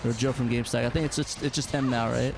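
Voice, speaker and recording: A man's voice: mid-range voice, steady tone, fast, creaky. A man hosting a casual game chat, talking in an informal, conversational way. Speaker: show host. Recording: music playing behind the voice. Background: music.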